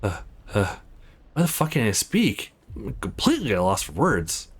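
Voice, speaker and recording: A man's voice: lowish voice, huge pitch swings, normal speed, husky, pitched below the performer's own voice, exaggerated voice. A man voicing a defiant but rattled young male hero in a visual novel, blunt and agitated. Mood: nervous.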